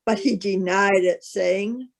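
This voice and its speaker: A woman narrating a solemn Bible reading as a scripted piece, with measured, careful phrasing. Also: mid-range voice, steady tone, creaky.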